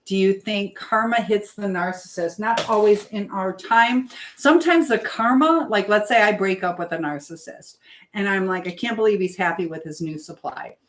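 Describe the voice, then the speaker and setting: A woman's voice: mid-range voice, normal speed. A middle-aged woman hosting a casual livestream, rambling and thinking aloud as she answers viewer comments. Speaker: livestream host. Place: at home while preparing food. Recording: clearly noisy livestream with clicks.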